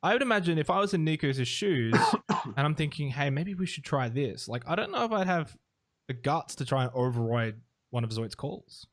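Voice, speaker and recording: A man's voice: fairly high pitch, sing-song, moderate pace. A man hosting a casual podcast interview, asking long, hedging questions. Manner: chatty and hedging, with lots of fillers. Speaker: podcast host.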